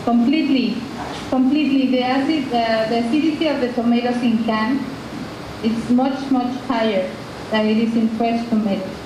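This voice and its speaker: A middle-aged woman from Mexico with a Mexican Spanish accent, a chef teaching a class in English over a video call, halting and restarting her sentences. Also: fairly high pitch, background noise. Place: cooking school kitchen, heard through classroom speakers. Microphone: computer webcam mic. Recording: echoey laptop-speaker audio replayed in a classroom.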